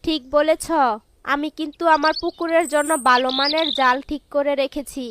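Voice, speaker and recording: Woman acting high-voiced boastful village wife. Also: fairly even intonation, normal speed, natural voice. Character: confident, boastful village co-wife, proudly competitive. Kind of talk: animated fairy tale voice acting. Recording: clearly noisy track with birdsong.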